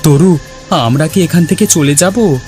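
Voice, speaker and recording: A man's voice: a little high, unhurried, mellow, soft background music, natural voice. A man voicing a timid, anxious village boy in a cartoon, hesitant and prone to stammering. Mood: worried. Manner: hesitant, stammering when afraid. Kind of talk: cartoon character dialogue.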